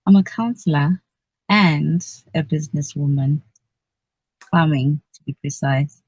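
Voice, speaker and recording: A woman's voice: low-pitched, unhurried, darkish tone, slightly rough, no echo. Woman, talkative radio guest with frequent laughter, recorded dry and slightly dull. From Zimbabwe. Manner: chatty, laughs often between phrases. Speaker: guest, cultural advocate. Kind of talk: conversational radio interview.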